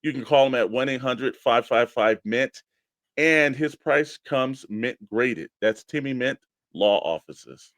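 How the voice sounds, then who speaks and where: A man's voice: medium pitch, lively intonation, unhurried, a bit bright, no echo. An American man co-hosting a toy collecting show in casual, informal conversation with spoken emphasis. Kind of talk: casual radio-show chat.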